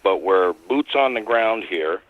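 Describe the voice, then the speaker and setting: A man calling in as a radio guest who founded an advocacy group, speaking in a steady, persuasive, plain-spoken way. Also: lowish voice, unhurried, no echo. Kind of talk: casual radio talk. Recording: tinny phone line.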